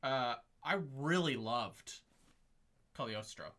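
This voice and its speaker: A man co-hosting a casual livestream film discussion, gushing and animated, peppering his talk with "like" and acting out reactions. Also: mid-range voice, slow pace. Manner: gushing, animated, uses "like" a lot and acts out reactions. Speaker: co-host.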